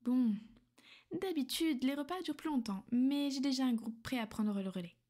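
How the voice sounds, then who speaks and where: Woman doing a whispery vampire lady, soothing and kinda possessive, slightly high voice, asmr vibe. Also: normal speed, close to an everyday voice. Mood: teasing. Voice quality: soft, half-whispered and intimate. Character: gentle, reassuring female vampire mistress. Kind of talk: ASMR roleplay.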